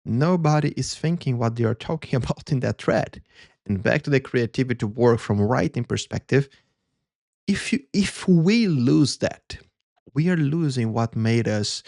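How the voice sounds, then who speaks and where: A Brazilian-accented man whose voice has a gravelly, fry-tinged edge. He hosts a solo talk in an earnest, reflective tone, pausing to find his words in a second language. The sound is dry and close. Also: mid-range voice, darkish tone, no room sound. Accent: Brazilian Portuguese. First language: Portuguese. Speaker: podcast host.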